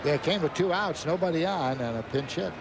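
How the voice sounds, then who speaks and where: Male American baseball co-commentator, fairly high voice, chatty and wry, clear stadium noise underneath. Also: expressive, brisk. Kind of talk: conversational sports commentary. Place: open-air ballpark broadcast booth. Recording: noisy outdoor ballpark broadcast.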